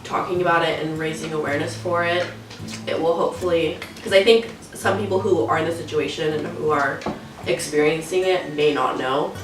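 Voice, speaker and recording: Low-voiced nineteen-year-old woman, hesitant. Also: steady tone, brisk, roomy sound, distant mic, music in the background, low-quality recording. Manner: soft, hesitant, with fillers. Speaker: student speaker. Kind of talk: earnest awareness talk.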